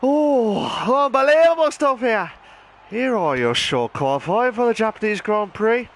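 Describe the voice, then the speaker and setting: Hoarse man commentating sim racing. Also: high voice, a little slow. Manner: excitable, shouting during qualifying, then calm chatty standings rundown. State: hoarse, lost voice. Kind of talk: live esports race commentary.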